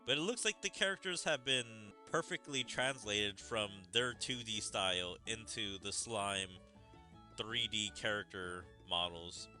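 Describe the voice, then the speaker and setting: A man's voice: lowish voice, a little slow, sharp tone, soft background music. A man giving casual, rambling commentary as a gaming streamer, with opinionated asides.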